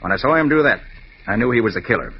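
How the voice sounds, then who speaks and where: Confident male American sleuth, 1940s radio drama, lively pitch swings, dull noisy sound. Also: racing, breathy edge. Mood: assured, explaining. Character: confident, shrewd male master detective. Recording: muffled, noisy old radio recording.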